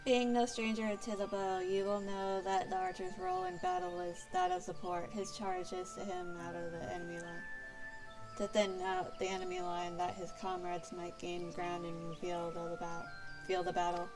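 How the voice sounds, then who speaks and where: A woman's voice: lowish voice, animated, normal speed. A woman voicing a tormented, remorseful male archer turned bard from a fantasy game, in formal, archaic storytelling. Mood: solemn, regretful. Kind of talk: reading fantasy game dialogue aloud. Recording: game music behind.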